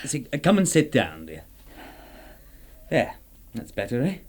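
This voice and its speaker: Vintage radio play: soothing, bantering husband; middle register, highly varied intonation, jaunty old-fashioned idiom. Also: male voice, huge pitch swings, measured pace, darkish tone, husky, full character voice. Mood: soothing, coaxing. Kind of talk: vintage amateur radio drama acting.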